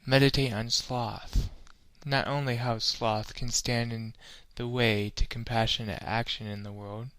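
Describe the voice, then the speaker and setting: Slow, measured man guiding meditation. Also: medium pitch, little pitch movement, a bit bright, clean tone, no echo, low-quality recording. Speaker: meditation guide. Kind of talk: scripted guided meditation.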